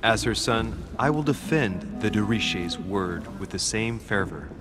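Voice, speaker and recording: A composed young gentleman in a cinematic adventure game. His voice sits fairly low, and his formal, deferential phrasing has a calculating smoothness. Background music is clearly present. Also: lively intonation, measured pace. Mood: persuasive, earnest. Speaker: actor in a narrative adventure game.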